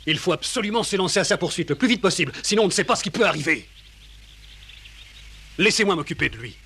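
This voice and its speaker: Cartoon ranch man: stern, suspicious, fast-talking, on the higher side, pressing his point stubbornly. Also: animated, pushed and bright, husky, heavily acted. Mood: insistent. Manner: scolding, insistent. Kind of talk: French cartoon dub acting.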